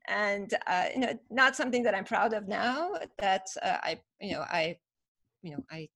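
A woman's voice: medium pitch, expressive, normal speed, a bit bright. A woman in her fifties from Iran, a podcast guest telling her story in a reflective, casual way with frequent fillers. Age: 50s. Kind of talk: casual interview chat.

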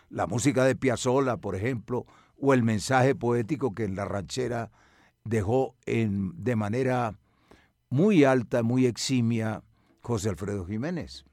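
An older man from Colombia with a Colombian accent answers interview questions reflectively, in measured, articulate and rather formal language. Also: medium pitch, a little mellow, no echo, clean studio sound. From Medellín, Colombia. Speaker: cultural radio broadcaster and music researcher.

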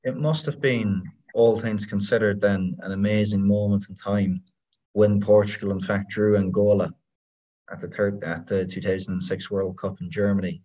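A male podcast host with a fairly low voice conducts a sports interview. His phrasing is wordy and measured, and he sounds set back from the microphone. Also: steady tone, darkish tone. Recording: distant from the microphone.

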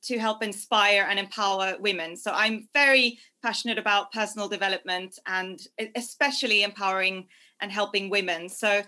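Bright-voiced woman. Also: fairly high pitch. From Norway. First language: Norwegian. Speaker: guest, life coach. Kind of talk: conversational interview answers.

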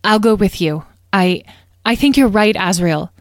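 A woman's voice: medium pitch, unhurried, no echo, clean studio sound, pitched above the performer's own voice, lightly acted. A woman voicing an eager, determined young dragon in a storybook, insistent and earnest.

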